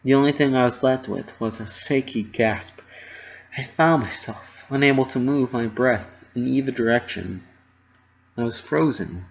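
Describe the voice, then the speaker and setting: A man's voice: mid-range voice, moderate pace, gravelly. A man narrating a story as an earnest, emotive dramatic reading, on a dull recording. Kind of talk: dramatic reading of fan fiction.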